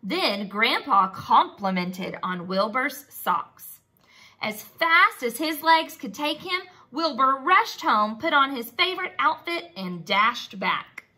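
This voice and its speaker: A woman's voice: high-pitched, very animated, slow pace, sharp tone. A woman teacher reading a storybook expressively to young children, asking them simple questions and praising them. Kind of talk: expressive storybook read-aloud and lesson.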